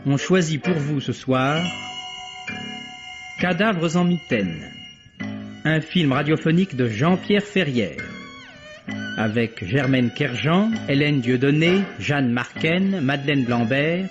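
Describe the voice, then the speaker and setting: Slow, high-voiced male announcer over music. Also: lively intonation. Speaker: radio announcer reading credits. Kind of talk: formal scripted radio announcement. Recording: dull vintage radio recording. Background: loud music.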